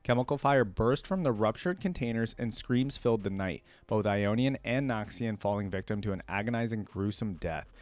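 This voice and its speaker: A man's voice: fairly low pitch, animated, moderate pace, few pauses, darkish tone, no echo. Man hosting reactions, dry close sound. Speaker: host of a reaction channel. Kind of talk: casual reaction commentary with read-aloud lore.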